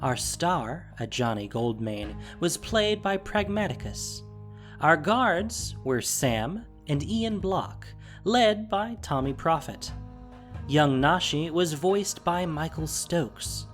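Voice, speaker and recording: Audio drama narrator, animated intonation, mid pitch, measured storytelling, music in background. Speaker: podcast narrator and producer.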